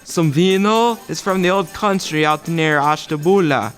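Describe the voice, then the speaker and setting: A man's voice: fairly high pitch, a little slow, a bit bright, soft background music. A young man playing a chatty, eager-to-please pizza parlor sidekick in a comic student radio play. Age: young adult. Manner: chatty, solicitous. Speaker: actor in a student audio play.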